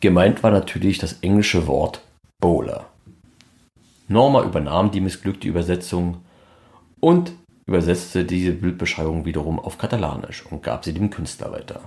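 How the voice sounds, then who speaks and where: A man's voice: deep voice, moderate pace, a little mellow. A man presenting a podcast in scripted, documentary-style narration.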